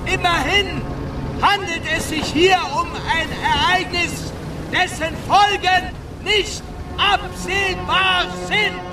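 An actor in a radio drama playing a naval officer who calls out a grave message deliberately, repeating key words. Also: male voice, very high voice, steady tone, music in the background. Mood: urgent, grave. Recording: distant voice under loud boat motor noise.

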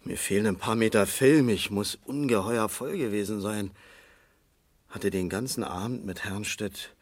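An actor playing a shaken suspect who haltingly denies his guilt in a 1970s German radio crime drama. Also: lowish voice, dry recording. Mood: dazed, shaken. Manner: halting, bewildered, repeating himself. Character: shaken, remorseful male drunk-driving suspect denying his guilt.

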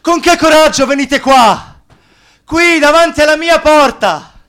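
An actor playing an enraged, grieving young son in a radio play, shouting in anguish. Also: male voice, very high-pitched, a little slow. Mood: anguished rage. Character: enraged, grieving young son driving mourners from his door. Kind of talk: radio theatre acting.

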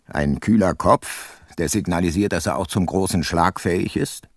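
An actor playing a wry, unhurried middle-aged private detective in a radio drama, with a dry, laconic, ironic manner. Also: male voice, low-pitched, no room sound. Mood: wry, reflective. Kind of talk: radio drama narration and dialogue.

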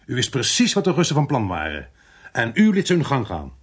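A male actor in a Dutch radio play, playing a suspicious, dogged police inspector who speaks deliberately and pointedly. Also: medium pitch, huge pitch swings, breathy edge. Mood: accusing, firm. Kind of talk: Dutch radio play acting with first-person narration.

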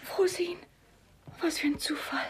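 An actress playing a timid, refined young bride-to-be in a radio comedy, confiding in a whispery, sighing voice. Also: female voice, very high-pitched, steady tone, unhurried. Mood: smitten. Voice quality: very breathy, whispery. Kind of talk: German radio play comedy acting.

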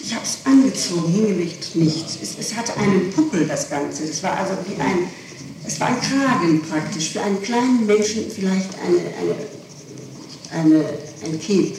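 Woman interviewee, testimony style, halting delivery with repetitions, noisy archival tape with a far microphone. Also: medium pitch, lively intonation, a little mellow. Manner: halting, repeats words while explaining. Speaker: person being questioned by police. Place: reverberant room, taped police interrogation. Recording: echoey, noisy old tape, distant mic.

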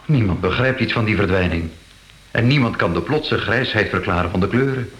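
An actor playing a composed exhibition official in a radio play, with a measured, formal manner. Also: male voice, lowish voice, a bit bright, reverberant, a little hiss or hum, natural voice. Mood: grave, puzzled.

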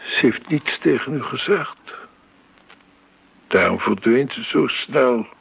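Very slow, gravelly waiter. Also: male voice, mid-range voice, expressive, full character voice. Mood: curious, suspicious. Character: deferential, nosy waiter. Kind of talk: radio drama acting. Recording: dull, noisy old radio recording.

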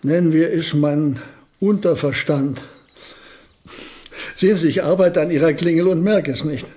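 An actor as an absent-minded old physicist. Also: male voice, on the high side, dark tone, close to an everyday voice. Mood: musing. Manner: measured, lecturing, distracted. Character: elderly male physicist professor, absent-minded, fussy. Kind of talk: radio play acting.

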